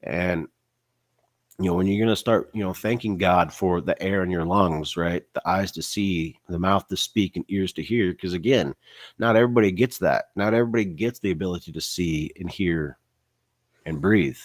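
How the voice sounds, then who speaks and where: Guy with a cold, stuffy nasal voice, rambly pep talk, lots of "you know", kinda low, dry sound. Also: normal speed, no echo. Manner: rambling, many "you know" and "right?" fillers. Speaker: online business vlogger. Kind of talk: casual motivational talk.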